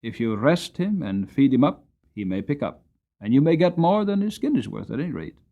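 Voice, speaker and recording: A man's voice: mid-range voice, sing-song, brisk, dull audio, pitched above the performer's own voice. Storybook farrier played by a man: blunt, practical, firm, strongly varied intonation. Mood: matter-of-fact. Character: blunt, practical farrier giving his verdict on a horse. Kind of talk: dramatic storybook reading.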